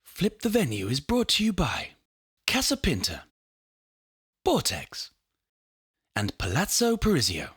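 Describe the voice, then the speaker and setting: A woman's voice: low-pitched, huge pitch swings, a little slow, pauses often, slightly rough, no echo, clean studio sound. A woman reading scripted reality show narration in a dramatic, teasing way.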